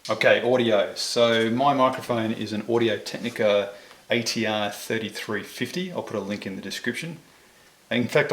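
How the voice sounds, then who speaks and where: A man's voice: medium pitch, gravelly, roomy sound. A man giving a casual, step-by-step product review to camera in an informal, explanatory way. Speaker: motorcycle vlogger presenting a gear review.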